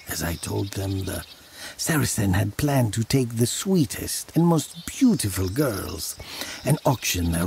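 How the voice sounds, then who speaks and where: Slow, gravelly, guilty storyteller. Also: male voice, low-pitched, a little mellow, slight background noise, full character voice. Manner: measured, brooding. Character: guilty, wealthy man narrating his dark confession. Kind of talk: dramatic first-person story narration.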